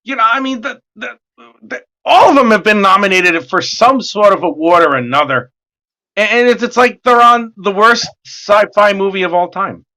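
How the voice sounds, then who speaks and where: A man with a hoarse voice, chatting casually and joking as the host of a livestream while he draws, excitable and swearing freely. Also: high-pitched, normal speed. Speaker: artist hosting a livestream. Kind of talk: casual chat while drawing.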